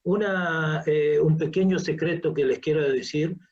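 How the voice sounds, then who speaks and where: An older man giving an online scientific lecture, halting and often repeating his words over a video call. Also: on the high side, a little mellow, lo-fi sound. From Uruguay. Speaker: professor and researcher, lecturer.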